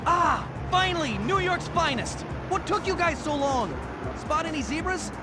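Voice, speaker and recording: A cocky, friendly zoo animal in a cartoon game. The voice is very high for a male and bounces around excitedly, slangy throughout, with loud music behind. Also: unhurried. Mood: relieved. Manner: slangy, exclamatory. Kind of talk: video game cartoon voice acting.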